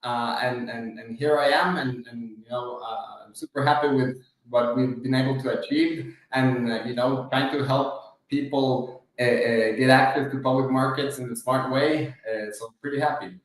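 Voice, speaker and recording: A man from Guatemala speaks as an interview guest in a medium-pitched voice. His delivery is conversational and halting, full of hesitations and repeated words. The recording is heavily reverberant, like a bare room. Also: steady tone. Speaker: interview guest, fund manager.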